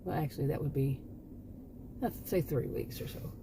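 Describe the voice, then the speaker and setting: A woman's voice: deep voice, moderate pace, creaky, background noise. A woman in her late fifties chatting to camera in a rambling, exasperated way, full of restarts and asides. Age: 50s. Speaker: vlogger. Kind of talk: casual chat to camera.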